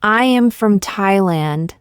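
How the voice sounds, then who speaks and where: A woman reading short practice sentences aloud for learners, saying each one twice, slowly and carefully. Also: medium pitch, lively intonation, clear, resonant tone, no room sound, clean studio sound. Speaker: English teacher.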